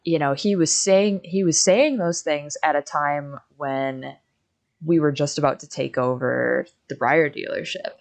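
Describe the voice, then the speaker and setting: A young woman in a casual livestream chat, telling stories in an animated way and acting out quoted conversations. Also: sits low, unhurried, vocal fry. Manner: animated storytelling, quoting conversations.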